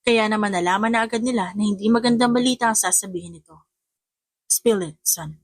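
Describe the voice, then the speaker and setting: A woman's voice: medium pitch, fast talker, dry recording, studio quality. A woman reading a romance audiobook as a dramatic scripted narrator.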